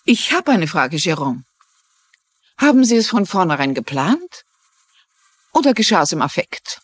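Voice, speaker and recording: Shrewd, courteous lady sleuth, very lively. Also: fairly low pitch, unhurried, breathy edge, no room sound, full character voice. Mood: probing, grave. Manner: polite, probing. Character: shrewd, courteous older woman amateur sleuth. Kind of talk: dubbed TV drama dialogue.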